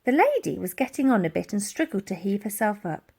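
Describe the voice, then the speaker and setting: A woman narrating a children's adventure story in an animated storytelling style. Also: mid-range voice, brisk, vocal fry, husky. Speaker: audiobook reader.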